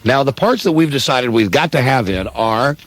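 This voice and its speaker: A man's voice: medium pitch, lively intonation, normal speed, dry recording, soft background music. An American man hosting a radio show with casual, rambling, teasing banter. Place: radio studio.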